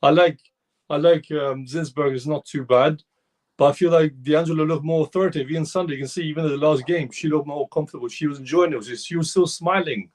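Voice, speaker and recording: Man, slightly hoarse, fairly high voice, heated rambling football talk, lots of restarts. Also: fast. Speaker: fan show co-host. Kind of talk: casual football fan discussion.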